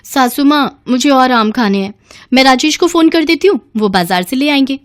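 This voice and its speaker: A woman puts on a young daughter-in-law for a cartoon tale, pitched up high. She is stubborn and demanding, pleading in a whiny, insistent way. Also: animated, normal speed. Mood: eager.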